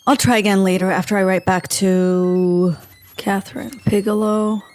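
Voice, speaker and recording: A woman playing a dry, sardonic female agent in a comedic audio drama, with a deadpan delivery. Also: mid-range voice, normal speed, faint music behind, natural voice. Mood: wearily sardonic.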